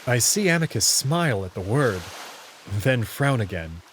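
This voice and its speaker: A man's voice: mid-range voice, very animated, a little slow, slight background noise, rough recording. A man giving a dramatic first-person narration of a visual novel story. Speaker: narrator and reader.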